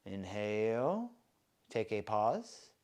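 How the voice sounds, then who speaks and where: A man softly leading a guided breathing meditation, with calm, soothing instructions. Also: lowish voice. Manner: slow, soft, calm and soothing guidance.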